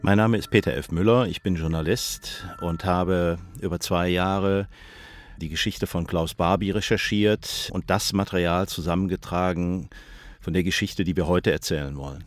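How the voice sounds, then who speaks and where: A male journalist explaining things to listeners in a deliberate way. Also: deep voice, fairly even intonation, dry recording. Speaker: journalist and presenter.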